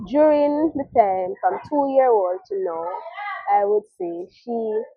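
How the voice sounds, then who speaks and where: Narrowband phone-like recording, young woman, Caribbean accent, slightly husky, earnest halting personal testimony, repeated phrases. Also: medium pitch, dry recording. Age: young adult. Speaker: mother telling her life story. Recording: muffled, narrow phone-like audio.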